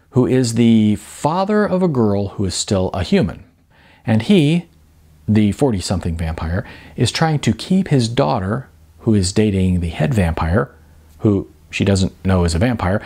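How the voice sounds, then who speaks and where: A man with a husky voice, an author reading their own novel aloud in an expressive storytelling manner. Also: mid-range voice, a little slow. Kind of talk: audiobook-style narration of prose.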